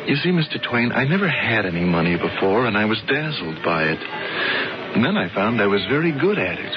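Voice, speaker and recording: An excitable, distraught sculptor, old radio. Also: male voice, lowish voice. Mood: rueful. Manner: emotional, halting, stammering. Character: distraught American sculptor turned rich, pleading and excitable. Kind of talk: radio drama acting. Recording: dull vintage radio broadcast, music behind.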